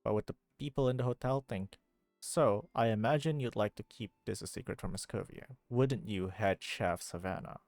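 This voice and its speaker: A woman's voice: rumbling low, moderate pace, a little mellow, dry recording. Woman game streamer, close dry mic, reading dialogue aloud, live commentary, game music behind.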